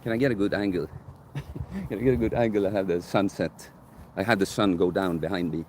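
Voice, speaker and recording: A young man with a deep bass voice chatting casually outdoors while livestreaming a Q&A, rambling and correcting himself as he thinks aloud. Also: fairly quick, darkish tone, slight background noise. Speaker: coach streaming live Q&A. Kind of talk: casual live-stream chat answering viewer questions. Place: outdoors in a park near sunset. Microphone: phone camera held by the streamer.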